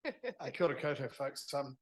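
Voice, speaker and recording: A man's voice: mid-range voice, big pitch swings, moderate pace, breathy edge. A man giving wry, humorous closing thanks at a lecture. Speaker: event organiser giving thanks. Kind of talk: closing remarks. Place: parish hall.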